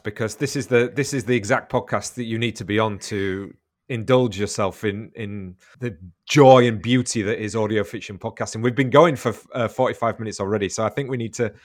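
Jokey male podcast host. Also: mid-range voice. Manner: jokey, laughs along. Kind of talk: casual interview.

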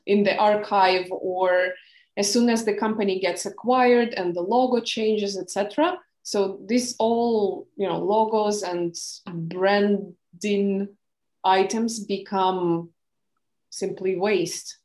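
A woman's voice: mid-range voice, steady tone, takes it slowly, darkish tone, echoey room, low-quality recording. A woman host with a Ukrainian accent, a native Ukrainian speaker, asking interview questions and searching for words as she clarifies. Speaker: podcast host.

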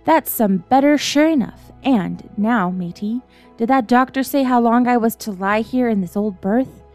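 A woman reading aloud lends her voice to a weak but blustering old sea captain in a bedtime storybook. He pleads for rum and curses doctors in rough sailor's speech, his voice a little higher and louder than the narration. The tone stays mellow, with faint music behind. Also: moderate pace, lightly acted. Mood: agitated.

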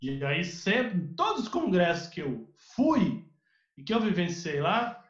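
A man's voice: high voice, a little slow. A man with a Brazilian Portuguese accent, a guest music researcher telling stories animatedly in a casual podcast interview, with many restarts. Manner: animated storyteller, many restarts, mimics others. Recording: roomy, dull lo-fi audio.

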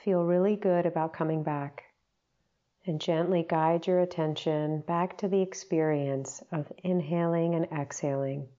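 A woman guiding a meditation in a gentle, unhurried, instructional manner, leaving long pauses between short phrases. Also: sits low, fairly even intonation, highs cut off. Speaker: meditation guide. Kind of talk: guided meditation narration.

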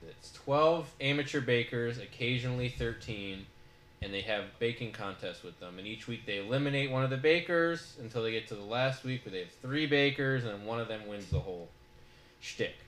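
A man's voice: medium pitch, expressive, brisk, fairly bright tone, roomy sound, a little hiss or hum. A man hosting a podcast, talking through a show review in a casual, rambling way full of fillers. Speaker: podcast host.

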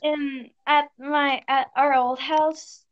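A teenage girl chatting with a friend, hesitant and halting as she searches for words. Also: high voice, little pitch movement. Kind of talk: casual chat between friends. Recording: dull lo-fi recording.

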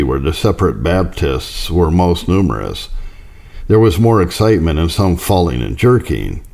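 A man reading a historical religious account as a scripted narration, in a measured, formal manner. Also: deep voice, darkish tone.

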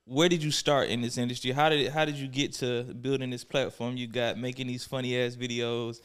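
A man's voice: medium pitch, little pitch movement, brisk, a bit bright, clear, resonant tone. An American man hosting a casual podcast, talking in a blunt, slangy, profane and encouraging way. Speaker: podcast host. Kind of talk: casual, slangy interview chat.

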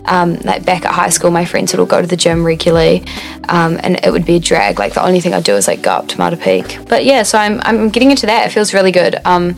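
A woman's voice: lowish voice, fast, creaky, faint music behind. A twenty-year-old woman with a New Zealand accent co-hosting a podcast, chatting casually with lots of fillers and swearing. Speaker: podcast co-host. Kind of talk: casual chat. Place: radio studio.

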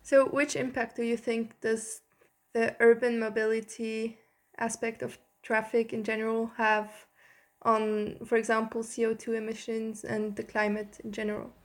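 A woman's voice: on the high side, completely flat delivery, normal speed. A woman hosting a podcast, putting prepared interview questions to a guest.

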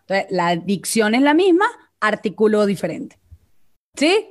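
A woman's voice: mid-range voice, big pitch swings, a little slow. A woman vocal coach with a Venezuelan accent, explaining voice technique in a casual live chat and often checking in with "ok?".

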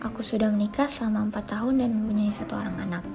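A woman's voice: medium pitch, fairly even intonation, racing, vocal fry, music in the background. A woman, giving a prepared personal testimony. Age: 20s.

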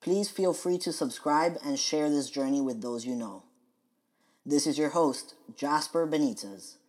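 A young adult man from the United States hosting a podcast, reading his scripted personal monologue in a measured, earnest way. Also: fairly high pitch, darkish tone. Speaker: podcast host.

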